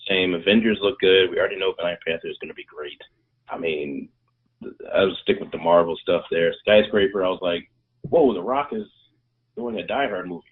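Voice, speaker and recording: Very deep-voiced man on a phone line. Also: a little fast. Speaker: podcast co-host. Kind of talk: casual movie chat. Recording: tinny phone-line audio.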